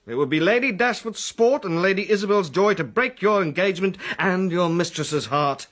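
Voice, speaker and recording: An actor playing a world-weary officer who speaks in an emphatic, well-spoken way in a period radio drama. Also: male voice, high-pitched, animated, normal speed, a bit bright. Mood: grave warning. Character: world-weary young officer friend, gravely cautioning.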